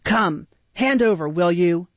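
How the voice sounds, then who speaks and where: A woman reading an audiobook, voicing a brutal grown male housebreaker who speaks in a gruff, blunt, menacing way, on a dull, dry recording. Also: lowish voice, animated, measured pace, no echo.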